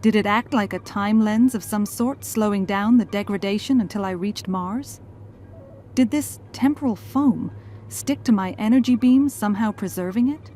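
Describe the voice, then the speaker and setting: A woman's voice: medium pitch, normal speed, dark tone, natural voice. A woman playing a thoughtful, gracious scientist and mother in a fan audio drama. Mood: curious. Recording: faint background noise.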